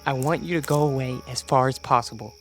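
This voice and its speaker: An actor playing a desperate young alien man posing as human, speaking urgently and haltingly in a radio drama. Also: mid-range voice, soft background music, close to an everyday voice. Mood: urgent warning.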